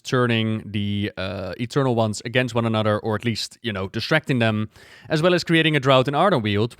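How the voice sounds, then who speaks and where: A man giving casual, excited and conversational reaction commentary as a gaming lore creator. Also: fairly low pitch, clear, resonant tone, dry recording, clean studio sound.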